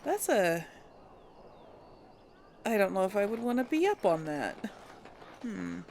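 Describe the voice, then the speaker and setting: Creaky, unhurried woman gamer. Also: mid-range voice, very animated, a little mellow, a little hiss or hum. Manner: easygoing, musing to herself. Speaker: let's play host. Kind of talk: casual game commentary with light role play. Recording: faint background game ambience.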